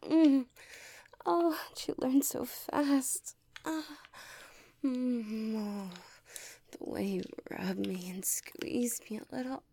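Breathy whispering woman, very slow. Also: on the high side, mellow, dry recording. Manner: breathy whispering with sighs and moans. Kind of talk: intimate erotic audio roleplay.

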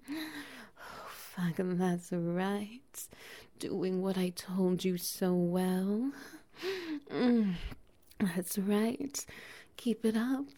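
A doting, dominant bear-woman played by a woman murmurs in a soft, teasing whisper with low breathy laughs. Her voice is mellow and warm with a gravelly fry. She takes her time, drawing every word out slowly. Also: exaggerated voice. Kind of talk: intimate audio roleplay.

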